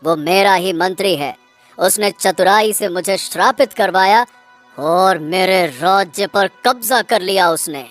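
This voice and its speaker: A man's voice: high-pitched, measured pace, fairly bright tone, faint music behind. A man voicing a proud, wronged young prince in a cartoon fairy tale, speaking emphatically. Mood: indignant.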